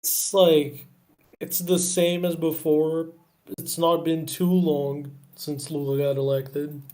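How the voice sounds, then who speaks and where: A man's voice: fairly high pitch, level tone, slow pace, dark tone. A man from Brazil chatting casually as a guest on a livestream, with some swearing. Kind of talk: casual chat.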